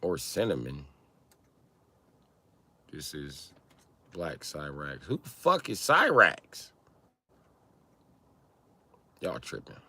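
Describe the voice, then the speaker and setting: A man with a low, bright voice that swings widely in pitch. He talks like a livestream host riffing with his chat, mocking and profane, with exaggerated delivery. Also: extremely slow, long pauses, slightly rough. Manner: teasing, profane mockery; animated, breaks into high-pitched exaggerated mimicry. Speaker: livestream host chatting with viewers. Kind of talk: casual livestream banter.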